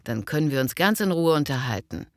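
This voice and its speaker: A woman's voice: deep voice, lively intonation, brisk, very noisy. A woman acting a friendly, frank boss interviewing an applicant in a scripted language lesson, cordial and businesslike. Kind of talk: scripted language-learning dialogue.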